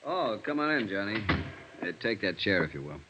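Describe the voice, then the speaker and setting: Dry, low-voiced police sergeant. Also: male voice, sing-song, moderate pace, heavy background noise. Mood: dry, casual. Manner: dry, needling. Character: weary, sardonic male police sergeant interrogating a suspect. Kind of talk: 1950s radio drama acting. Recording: dull vintage radio recording.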